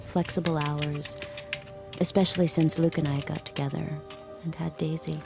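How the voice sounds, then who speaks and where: An actress playing a young mother, narrating in a quiet, intimate, reflective way. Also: sits low, steady tone, normal speed, creaky, music in the background. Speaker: narrator and lead character. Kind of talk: audio drama acting.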